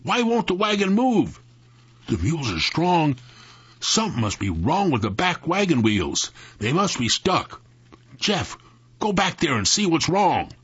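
A narrator as a bossy, animated Southern mule driver. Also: male voice, a little high, moderate pace, slightly rough, no echo, muffled recording, pitched above the performer's own voice, full character voice. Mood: urgent. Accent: rural Southern US. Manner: sharp, urgent, folksy. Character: gruff, take-charge country mule driver, rattled but bossy. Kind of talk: dramatic storytelling.

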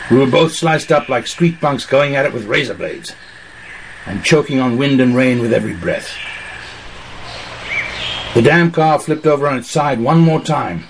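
A man's voice: medium pitch, vocal fry. Hard-boiled noir antihero, acted by a man, radio drama monologue, mid-range voice, gritty and intense, slightly noisy track. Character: hard-boiled noir man recounting violent night in tropics.

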